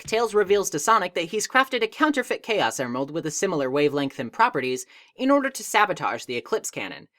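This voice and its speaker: A woman's voice: sits low, fairly bright tone. A woman narrating a scripted video essay in a wry, conversational and articulate way. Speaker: video essayist, game reviewer. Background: background music.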